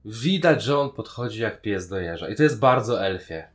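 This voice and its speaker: A man's voice: on the low side, animated, moderate pace. A man, co-hosting a podcast in a casual, conversational discussion of opinions. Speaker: podcast co-host.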